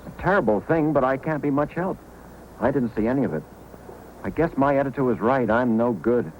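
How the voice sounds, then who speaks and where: An actor playing an earnest, hapless, self-doubting newspaper photographer in an old-time radio drama. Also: male voice, medium pitch, lively intonation, fairly quick, dull audio, lightly acted. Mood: dejected. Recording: noisy old radio recording.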